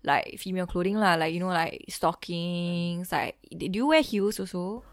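A woman's voice: on the low side, moderate pace. A young woman with a Singaporean English accent hosting a casual chat, talkative and giggly, peppering her speech with local slang.

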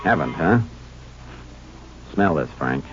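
Deep-voiced, steady Texas lawman. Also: slow pace, heavily acted. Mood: firm, pressing. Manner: terse, firm, matter-of-fact. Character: steady, authoritative male Texas Ranger investigator who also narrates. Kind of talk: 1950s radio crime drama acting and narration. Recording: dull, lo-fi old radio recording.

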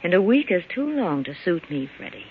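An actress playing a tough, possessive nightclub boss wounded in love, with a sardonic, melodramatic delivery in old-time radio style. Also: female voice, lowish voice, big pitch swings, brisk, breathy edge, exaggerated voice. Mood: reproachful. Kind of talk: 1940s radio drama acting. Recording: dull, slightly noisy old radio recording.

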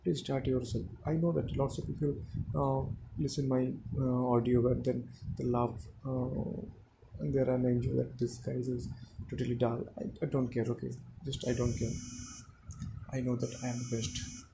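Halting Indian man, gravelly flat voice. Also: medium pitch, background noise. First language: Hindi. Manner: halting, many "uh" fillers, non-fluent English. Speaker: audio diary speaker. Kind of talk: personal monologue to listeners.

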